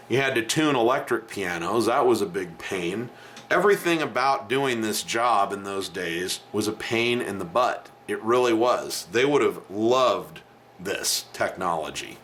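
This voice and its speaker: A middle-aged man with a low voice teaching piano in a casual video lesson, talking bluntly and chatting between the keys he plays. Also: a bit bright, slight background noise. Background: piano playing.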